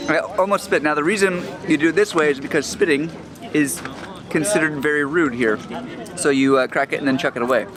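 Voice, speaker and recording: A man's voice: a little high, fairly quick, crowd noise. A man hosting a travel vlog, chatting casually to the camera.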